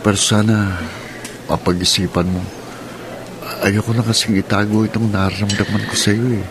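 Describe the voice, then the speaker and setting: A man's voice: lowish voice, flat delivery, normal speed, lightly acted. An actor playing a shy young male student who hesitantly courts a classmate in a radio drama. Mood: earnest. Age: young adult. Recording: noisy radio drama recording.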